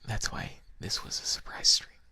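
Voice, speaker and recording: A live streamer chatting casually to viewers in a hushed, breathy whisper, unhurried and conversational, in an ASMR style. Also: no echo.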